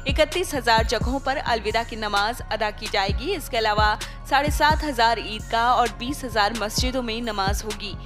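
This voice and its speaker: Female newsreader, higher-pitched voice, formal scripted bulletin read, clear music bed underneath. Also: moderate pace, few pauses. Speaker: news anchor reading a report. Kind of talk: scripted news narration. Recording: music playing behind the voice.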